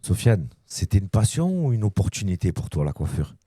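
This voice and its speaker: Male podcast host, friendly brief questions, lowish mellow voice. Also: sing-song, fairly quick, slightly rough, no room sound. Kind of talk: casual interview.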